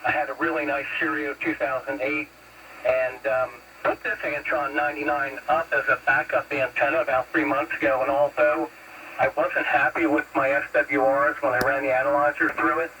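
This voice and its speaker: A man's voice: mid-range voice, flat delivery, moderate pace, sharp tone. An American man in his fifties chatting folksily over a CB radio, with formal radio phrasing. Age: 50s. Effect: CB radio transmission. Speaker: CB radio operator. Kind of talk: casual two-way radio chat. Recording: noisy CB radio signal, distant.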